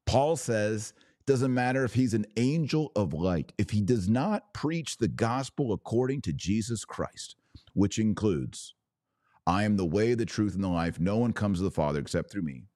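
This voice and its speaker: A man hosting a religious podcast, preaching in an emphatic, declarative way with deliberate pauses and repetition. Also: fairly low pitch, animated, mellow, dry recording, clean studio sound. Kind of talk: preaching monologue.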